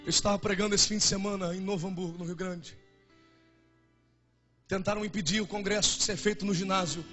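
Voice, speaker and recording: Fervent young Brazilian preacher, high voice. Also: male voice, fairly even intonation, a little fast, pushed and bright, soft background music. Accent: Brazilian Portuguese. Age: 20s. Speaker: pastor. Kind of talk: sermon.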